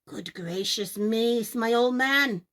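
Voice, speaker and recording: Woman acting a brisk, high, bossy giant's wife. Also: a bit bright. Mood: flustered. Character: great tall brusque giant woman, ogre's bustling wife.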